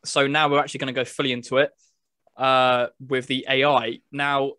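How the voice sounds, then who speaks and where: A man's voice: medium pitch, level tone, normal speed, bright, forceful tone, no room sound, clean studio sound. A man hosting a casual video chat about games, talking as a podcast presenter. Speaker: podcast host presenting a game review video.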